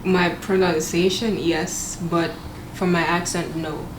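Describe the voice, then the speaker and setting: A woman's voice: fairly low pitch, flat delivery, slow pace. A young woman raised in Gambia with a Gambian accent, speaking reflectively in a documentary interview. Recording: echoey room with noticeable ambient noise.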